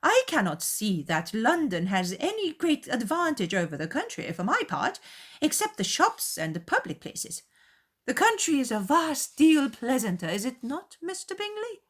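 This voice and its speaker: A woman voicing an excitable, boastful middle-aged Regency mother in a dramatized audiobook, with a gushing, emphatic manner. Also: high voice, moderate pace, voice pushed up. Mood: indignant triumph.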